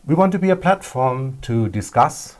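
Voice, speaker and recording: A man co-hosting a live festival, speaking in short, halting phrases as he presents. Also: mid-range voice, expressive.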